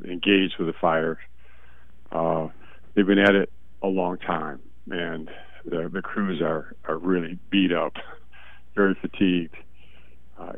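Deep-voiced man, hoarse and stuffy, halting delivery, heard over a phone line. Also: no room sound. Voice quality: gravelly. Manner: slow and halting, often trailing off quietly. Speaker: guest expert on call-in. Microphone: phone call. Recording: tinny phone line, dry.

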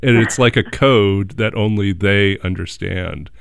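A man hosting an interview, talking in a relaxed, reflective way with some stammering restarts. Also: on the low side, unhurried, vocal fry, clean tone, no echo, clean studio sound. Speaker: host. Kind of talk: casual interview chat.

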